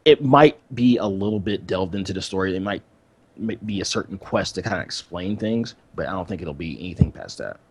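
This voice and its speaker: A man's voice: deep voice, animated, fairly quick, husky. A man co-hosting a gaming podcast in casual, informal chat, repeating himself as he thinks aloud. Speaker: podcast co-host.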